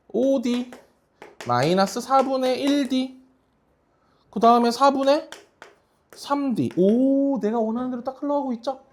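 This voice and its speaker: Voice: mid-range voice, a little hiss or hum. A math teacher working through problems in a classroom lesson, speaking casually and often asking students questions to check they follow.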